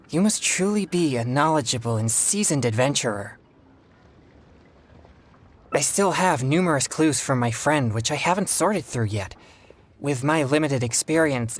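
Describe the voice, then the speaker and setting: An actor playing a polite, earnest young male exorcist in a video game, speaking in formal, courteous, measured phrases. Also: on the high side. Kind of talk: scripted video game dialogue.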